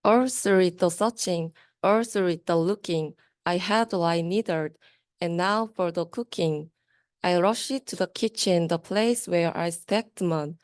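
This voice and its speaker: Smooth-voiced young woman reading rhymes aloud slowly. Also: on the low side, dry recording, studio quality. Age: young adult. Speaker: student reader. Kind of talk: reading a rhyming children's book aloud.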